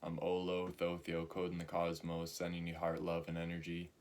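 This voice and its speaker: A man in his early twenties giving a spiritual talk, slow and halting, with many pauses and fillers. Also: low-pitched, completely flat delivery, noisy background. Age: 20s. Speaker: spiritual teacher.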